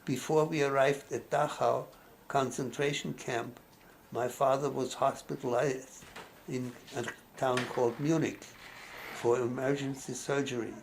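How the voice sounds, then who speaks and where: A very old man reads his own life story aloud at an unhurried, careful pace. His voice is gravelly and worn, and he often stumbles and restarts words partway through a phrase, keeping on steadily. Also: mid-range voice, steady tone, slight background noise, low-quality recording. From Austria. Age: 90s. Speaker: memoir narrator.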